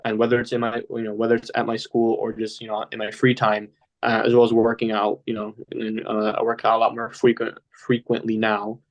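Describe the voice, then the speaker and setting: A man's voice: on the low side, monotone, brisk, dull audio. Casting note: young male guest, Polish-speaking home, loose rambling chat, lots of fillers. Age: young adult. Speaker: podcast guest. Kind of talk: casual interview talk.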